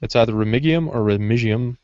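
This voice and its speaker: A man chatting casually on a podcast panel, halting often and restarting as he searches for words. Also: medium pitch, a little mellow, highs cut off. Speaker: podcast panelist discussing writing craft. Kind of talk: casual unscripted chat.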